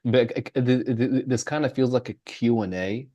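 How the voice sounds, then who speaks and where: A man's voice: medium pitch, moderate pace, mellow, no echo. A man in his twenties hosting a casual interview, blunt and joking in an offhand way. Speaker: host.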